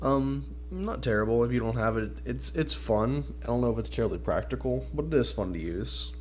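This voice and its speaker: A man's voice: medium pitch, expressive, a little fast, a little hiss or hum. A man with a stuffed-up, nasal voice from a cold, giving casual, informal video game commentary as a channel host.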